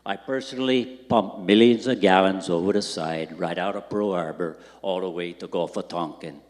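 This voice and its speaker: An older man speaks at a public meeting in a low, blunt voice, rambling and bitter as he makes his points. His words ring out with a long hall reverb. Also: moderate pace. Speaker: public commenter.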